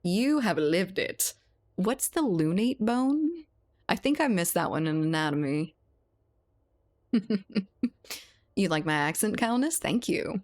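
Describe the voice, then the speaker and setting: A woman's voice: medium pitch, expressive, slow, long pauses, a little mellow. A woman from South Louisiana streaming a game, chatting casually with her viewers and laughing often. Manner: chatty, laughs often, reads and answers chat. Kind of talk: casual live-stream chat while gaming.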